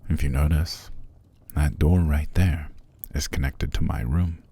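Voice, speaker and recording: A man playing a cruel, haughty, possessive vampire master in an ASMR roleplay, with a gravelly, breathy voice and a condescending, commanding manner. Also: extremely low pitch, steady tone, warm tone, full character voice. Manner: slow, condescending, commanding, drifting into whispers. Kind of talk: ASMR roleplay, scripted character monologue.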